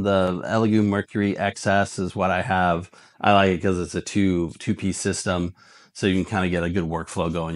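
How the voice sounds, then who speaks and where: A man in his forties hosting a review video, giving casual, blunt product advice in colloquial language, often checking in with a tag question. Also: deep voice. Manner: blunt, colloquial, often tags points with "right?". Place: indoor workshop room with shelves of parts.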